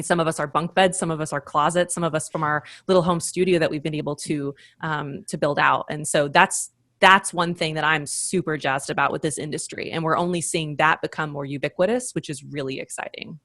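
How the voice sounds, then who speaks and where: Low-voiced woman. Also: a bit bright, dry recording. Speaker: voice actor and podcast producer. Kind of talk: casual panel chat.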